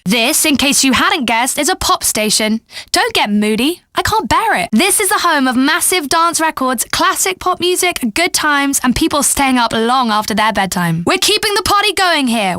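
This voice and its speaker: A woman voicing a perky, sardonic young pop radio DJ in a video game, breezy and tongue-in-cheek with deadpan asides. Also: extremely high pitch, no echo, studio quality, full character voice. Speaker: radio DJ host. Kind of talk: satirical game radio DJ patter.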